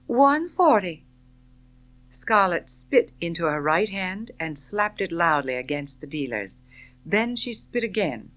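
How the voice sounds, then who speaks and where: A woman reading a novel as an audiobook, narrating in an expressive, theatrical way. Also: low voice, low-quality recording. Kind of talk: scripted dramatic novel narration.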